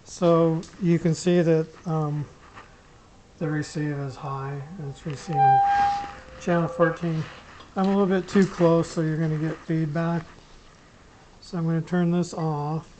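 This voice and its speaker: A middle-aged hobbyist giving a casual, unscripted gear demonstration, rambling and often pausing mid-sentence. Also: medium pitch, steady tone. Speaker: amateur radio hobbyist giving a gear demo. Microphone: small camera pinned to t-shirt. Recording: noisy clip-on camera sound with clicks.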